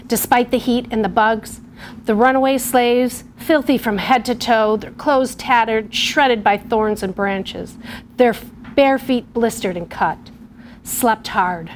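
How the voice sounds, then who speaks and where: A middle-aged woman teacher, giving a lesson and reading a story aloud to young children in a patient, clear way. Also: a little high, slight background noise. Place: television studio or classroom.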